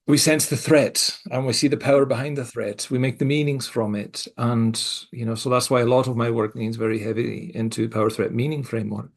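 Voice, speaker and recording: Middle-aged male co-host, mixed Scottish-American accent, raspy gravelly voice, reflective and hesitant. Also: mid-range voice, steady tone. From Scotland. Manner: reflective, speaks in halting restarts with soft laughs. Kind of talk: casual podcast conversation.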